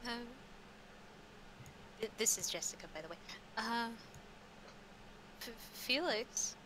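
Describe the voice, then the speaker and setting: A woman narrating a tabletop roleplaying game casually as game master, with halting, stammered starts. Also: fairly high pitch, animated. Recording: clearly noisy background.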